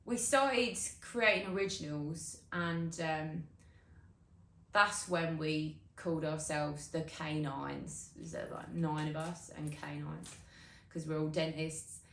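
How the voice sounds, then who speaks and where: A woman's voice: lowish voice, sharp tone, full character voice. Actress doing a young band girl, earnest n slangy, casual interview chat, echoey room, bit of background noise. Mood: chatty, confiding. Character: upbeat, zealous young British musician obsessed with perfect teeth. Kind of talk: monologue performed as a casual interview. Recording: roomy echo, background noise.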